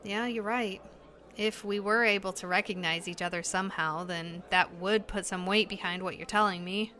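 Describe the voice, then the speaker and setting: A woman's voice: medium pitch, steady tone, normal speed, bright, forceful tone, close to an everyday voice. An actress playing an uneasy but determined young woman in an audio drama, speaking in a hesitant, earnest, questioning way. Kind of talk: naturalistic audio drama dialogue.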